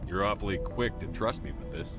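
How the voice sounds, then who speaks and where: A resolute military commander acted by a man speaks in short, matter-of-fact phrases with firm authority. The voice is fairly low, set in a video game scene with clear music behind it. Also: fairly quick, close to an everyday voice. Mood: terse, steady.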